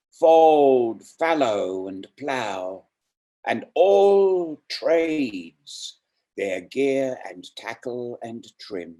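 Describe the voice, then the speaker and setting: A man reading and introducing poems in an unhurried, deliberate, reflective way. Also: medium pitch, darkish tone. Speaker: poetry reader introducing and reciting poems. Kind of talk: poetry reading with spoken introductions.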